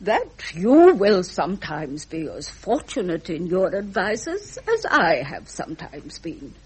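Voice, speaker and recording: A woman's voice: fairly low pitch, huge pitch swings, husky, heavily acted. An actress playing a stern, grieving old British queen and reproachful mother in a radio drama, formal and imperious. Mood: weary, appealing. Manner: imperious, measured, formal, emotionally wounded.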